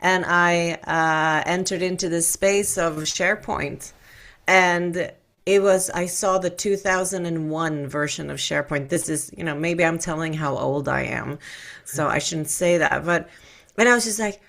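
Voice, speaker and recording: A woman's voice: fairly low pitch, steady tone, measured pace, creaky, a bit bright. A middle-aged woman from Sweden with an American accent, chatting casually and candidly as an interview guest. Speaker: podcast guest, tech expert.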